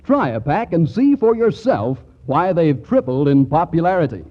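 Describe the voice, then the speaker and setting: A man's voice: on the high side, sing-song, moderate pace. A man delivering a polished, reassuring 1940s radio advertisement as the announcer. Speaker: commercial announcer. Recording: dull 1940s radio recording.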